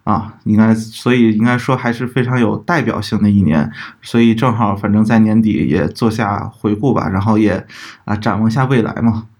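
A man co-hosting a podcast, explaining things methodically in casual talk, pausing and correcting himself as he goes. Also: fairly low pitch, darkish tone. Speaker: podcast co-host.

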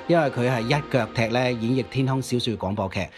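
A man co-hosting a conversational programme about music history, with a husky voice. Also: medium pitch, a little fast. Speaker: podcast co-host.

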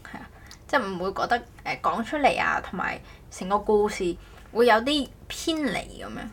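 A woman co-hosts a casual podcast, chatting haltingly and often correcting herself. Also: medium pitch, sing-song. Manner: halting, repeats words, self-correcting. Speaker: podcast host. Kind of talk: casual co-hosted podcast chat.

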